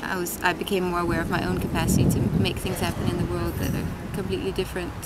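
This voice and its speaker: A woman in her thirties from Denmark, thinking aloud in an interview with many pauses and fillers, seated at an outdoor street table. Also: fairly low pitch, flat delivery. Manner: thoughtful, many ums and restarts. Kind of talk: reflective interview answers. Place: outdoors at a street-side table by a tiled wall. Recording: loud city traffic noise behind.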